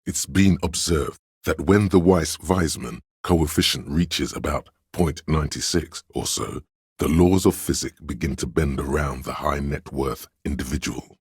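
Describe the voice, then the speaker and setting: An actor playing a pedantic encyclopedic inner voice in a video game, a man reciting obscure facts in a measured, erudite, matter-of-fact way. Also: no room sound, clean studio sound, heavily acted. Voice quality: very deep, gravelly, husky. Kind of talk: scripted game narration of trivia and facts.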